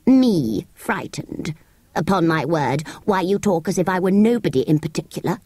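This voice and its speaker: A woman voicing a grumpy, haughty ancient furry sand fairy in a dry, husky, slow and pompous way for a storybook. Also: fairly low pitch, animated, darkish tone, no echo. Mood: indignant.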